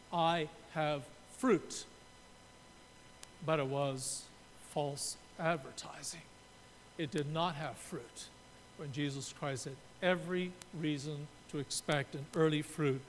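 A man in his sixties from Canada, preaching a sermon from a pulpit and explaining his points while gesturing. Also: a bit bright. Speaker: pastor. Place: church sanctuary. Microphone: pulpit mic. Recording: reverberant church room, faint rumble.

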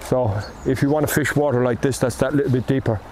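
A man's voice: mid-range voice, fast. An older man with an Irish accent, chatting casually about fly fishing while standing outdoors by a river, recorded by a camera on the bank. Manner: relaxed, explaining, with ums and asides. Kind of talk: casual outdoor how-to talk. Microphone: camera on the bank, speaker at a distance. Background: flowing river and wind.

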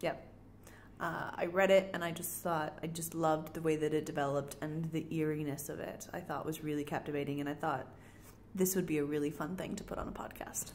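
A woman's voice: low-pitched, steady tone, brisk, a little mellow. A woman co-hosting a podcast, presenting and chatting casually. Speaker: podcast co-host. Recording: echoey room, faint noise.

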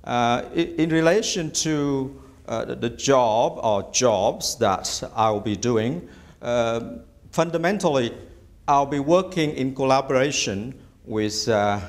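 A middle-aged man from Vietnam whose first language is Vietnamese, a bishop-elect giving measured interview answers in a small office, hesitating often with "uh". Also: mid-range voice. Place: small office room indoors.

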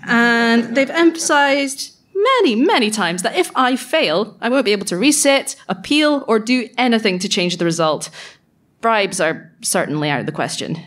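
An anxious young female apprentice enchanter played by a woman confides in a diary. The voice sits at a middle pitch and is chatty and self-interrupting, in the manner of a live audio drama monologue. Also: close to an everyday voice. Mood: nervous. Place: live on stage at a venue.

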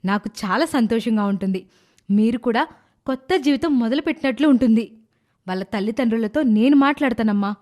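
A fussy, caring older mother from a storybook, her voice a high falsetto put on by a male narrator. She fusses over her grown daughter in a raised, motherly tone. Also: brisk, a little mellow. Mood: pleased.

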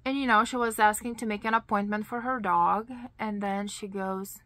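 A woman in her thirties with a Lithuanian accent, chatting to camera in a slow, deliberate storytelling way, full of "you know" and "like.". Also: mid-range voice, fairly even intonation, sharp tone, no echo. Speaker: vlogger. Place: small indoor craft room.

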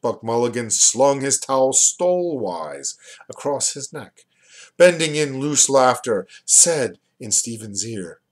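A man in his fifties gives a literary reading at an unhurried, slow pace. His pitch sits in the middle for a man, and he sets phrases apart with deliberate, expressive pauses. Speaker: reader, poet and rare book seller. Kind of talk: literary audiobook-style reading of a novel. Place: small furnished room at home, indoors. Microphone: webcam or computer mic at desk distance.

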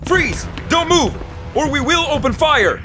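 A man's voice: extremely high pitch, lively intonation, unhurried, music in the background. A man playing an aggressive SWAT officer making an arrest in an audio drama, barking officially.

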